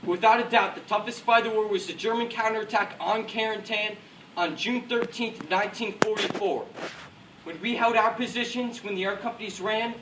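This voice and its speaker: A man's voice: sits high, fairly bright tone. A man playing an American Second World War paratrooper officer, recalling battle in an earnest, declamatory stage monologue. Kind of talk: rehearsed dramatic war monologue. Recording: distant echoey room, faint noise.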